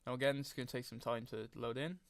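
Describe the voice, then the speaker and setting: A man narrating a casual software tutorial, speaking informally and hesitantly as he thinks aloud. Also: mid-range voice, steady tone, fast talker, dry recording. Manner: frequent throat clearing. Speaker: tutorial host.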